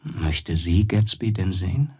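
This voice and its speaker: Puzzled young man for radio play dialogue: husky, fairly low, reserved, brief understated replies. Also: expressive, unhurried, darkish tone, dry recording, studio quality, exaggerated voice. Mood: curious.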